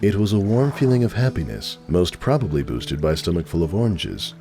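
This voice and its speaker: A man narrating a story as a dramatic scripted reading, measured and expressive. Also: low voice.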